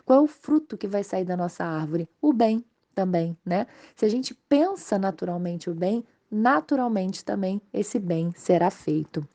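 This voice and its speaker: A Brazilian woman with a slightly hoarse voice from a mild cold, giving an informal, explanatory religious study talk to viewers. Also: medium pitch, lively intonation, a little slow, hardly any pauses, mellow. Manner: explanatory, conversational, checking in with tag questions. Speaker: host of a spiritist study channel.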